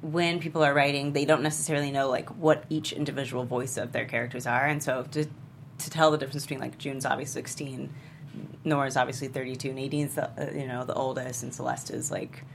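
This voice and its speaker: A woman's voice: extremely low pitch, flat delivery, fast talker, vocal fry. A woman chatting informally as a panelist in a casual discussion, often saying "like". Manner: conversational, informal, frequent "like". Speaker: panelist and writer. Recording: faint room noise.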